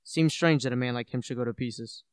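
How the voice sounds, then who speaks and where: A teenage male student actor playing a sensible, dismissive gentleman in a radio play, brisk and matter-of-fact. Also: mid-range voice, smooth, no room sound, studio quality, close to an everyday voice. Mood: concerned. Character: sensible, dismissive gentleman, loyal old friend.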